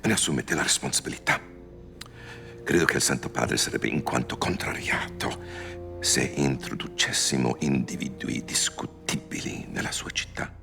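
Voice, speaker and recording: Very deep, creaky, menacing Italian priest. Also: male voice, moderate pace, over music. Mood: quiet menace. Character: menacing, suspicious Italian male priest, coldly authoritative. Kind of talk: cinematic game voice acting.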